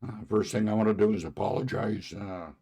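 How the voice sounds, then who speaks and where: Brief: hoarse male podcast host, very low gravelly voice, slow and halting, earnest testimony. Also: fairly even intonation, dark tone. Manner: halting, with frequent filler pauses. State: hoarse from a sore throat. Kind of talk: casual faith testimony monologue.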